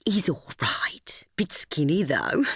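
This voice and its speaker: A woman voicing a lively, restless teenage girl, teasing and coaxing. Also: deep voice, slow, slightly rough, no room sound, clean studio sound, heavily acted. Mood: eager. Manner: teasing, coaxing, whispery at times. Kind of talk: audiobook dialogue.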